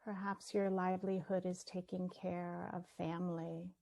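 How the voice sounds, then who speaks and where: A woman leading a guided meditation, speaking gently and leaving long pauses between her phrases. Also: lowish voice, level tone, gravelly. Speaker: meditation teacher.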